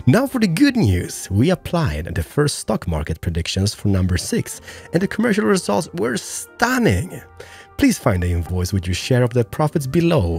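A hoarse man losing his voice, hosting excitable gameplay commentary with sudden high-pitched exclamations. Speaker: gaming channel host.

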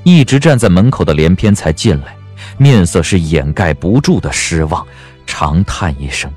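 A man narrating an audiobook drama in a measured, dramatic storytelling manner. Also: low voice, lively intonation, darkish tone, husky, soft background music.

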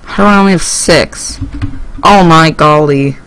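Teenage male gamer, rambling and higher-pitched. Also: a little slow, bright, forceful tone, a little hiss or hum. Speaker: gaming YouTuber. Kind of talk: casual gameplay commentary.

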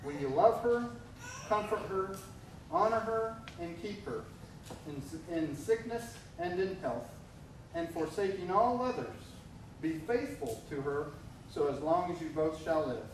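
Solemn middle-aged minister in echoey church. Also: male voice, on the high side, animated, distant mic, a little hiss or hum. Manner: measured, solemn liturgical delivery. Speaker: officiating minister. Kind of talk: wedding ceremony officiating. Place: church sanctuary. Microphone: far camera.

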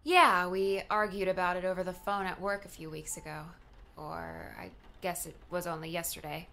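A woman's voice: on the low side, fairly even intonation, normal speed, gravelly, bright, forceful tone. A woman playing a candid, wry young adult daughter in a conversational audiobook drama style. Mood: candid, subdued.

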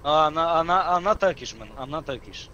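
Bright, high-pitched, insistent male gamer. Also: expressive, quick pace, highs cut off, slight background noise. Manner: repetitive, insistent. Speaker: player on game voice chat. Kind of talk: casual argumentative banter.

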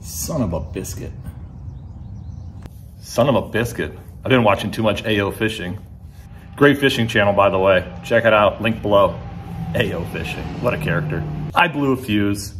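A man's voice: fairly low pitch, moderate pace, pauses often, fairly bright tone, a little hiss or hum. A man in his thirties from Ohio, filming himself at arm's length and making self-mocking jokes to the camera. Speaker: vlogger. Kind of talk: casual self-filmed vlog. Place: home garage and parked car. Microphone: handheld camera at arm's length.

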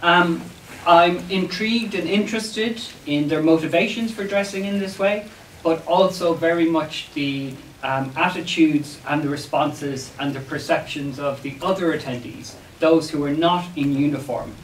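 A man with an Irish accent presenting an academic paper in a measured, slightly hesitant way to a seminar room. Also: a little high. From Ireland. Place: wood-panelled seminar room, indoors. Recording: echoey room, distant mic, background noise.

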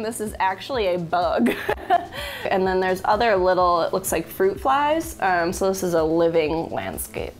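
A woman in her thirties walks through a pile of homemade items, playful and quick to laugh. Her pitch swings widely from line to line. Soft background music sits under her voice in an indoor studio. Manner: playful, jokey, laughs often. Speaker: host. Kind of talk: casual show-and-tell of items. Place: indoor studio with a blue wall.

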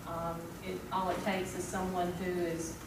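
A middle-aged woman talks at a public board meeting in a slightly low, very flat voice, stopping and repeating words as she weighs her point. She is heard from a distance, with heavy room echo and background noise. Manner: hesitant, repeats words, measured. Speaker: school board member. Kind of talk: formal meeting discussion. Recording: echoey room, far from mic, background noise.

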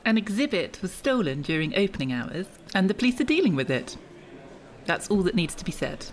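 A woman's voice: medium pitch, very animated, fairly quick, natural voice. A woman acting as a prickly, guarded museum official in an audio drama, sarcastic and condescending. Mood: curt, irritated.

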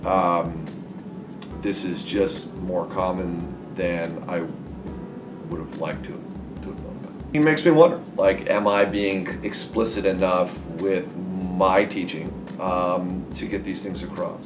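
A man's voice: deep voice, steady tone, slow, recorded from a distance, over music. A middle-aged man, a teacher, thinking aloud in an interview in a classroom. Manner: thoughtful, questioning himself. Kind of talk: reflective interview.

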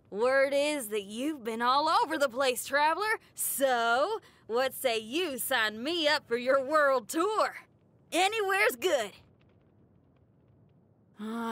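A woman's voice: on the high side, a bit bright, no echo. A woman voicing a brash young female rock guitarist in a game, cocky and upbeat. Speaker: game character. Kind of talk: game voice lines.

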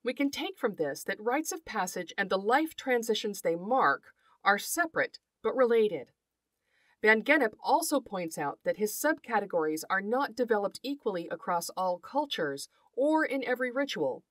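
A woman hosting an educational podcast, reading scripted narration in a measured, articulate and formal way. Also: mid-range voice, sing-song, fairly bright tone, no echo. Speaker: podcast host, folklore scholar.

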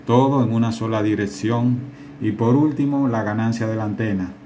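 A man's voice: lowish voice, steady tone, moderate pace, warm tone. A man hosting a DIY channel, speaking informally and directly to the viewer as he explains in a tutorial style. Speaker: host of a DIY antenna channel. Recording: clearly noisy home-made phone recording.

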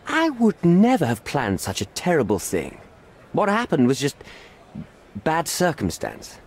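An actor playing a middle-aged envoy in a video game, formal, evasive and smooth-talking, with a placating, pleading manner. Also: male voice, fairly high pitch, wildly swooping pitch, measured pace, full character voice. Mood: defensive. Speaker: British envoy. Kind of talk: video game cutscene acting.

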